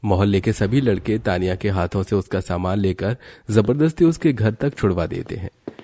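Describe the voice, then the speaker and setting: Mellow male cartoon story narrator. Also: fairly low pitch, moderate pace.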